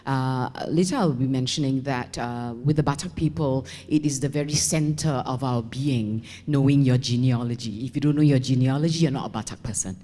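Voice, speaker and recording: A woman's voice: extremely low pitch, a little fast, hardly any pauses, mellow. An older woman teacher making a chatty, rambling comment from the audience with frequent asides. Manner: chatty, laughing, wandering asides. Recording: reverberant seminar hall.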